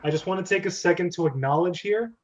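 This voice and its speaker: A man's voice: high voice, fast talker, a little hiss or hum. A man hosting a script reading, chatting casually and jokily as he explains and directs. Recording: dull, lo-fi sound.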